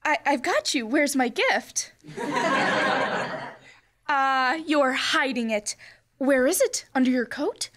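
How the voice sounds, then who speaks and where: A woman's voice: sits high, animated, slow pace, long pauses, a bit bright, full character voice. A young woman playing an affectionate, eager housewife in old-time radio comedy. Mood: eager, coaxing. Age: teens or young adult. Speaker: high school student actor. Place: school library room. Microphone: stand microphone.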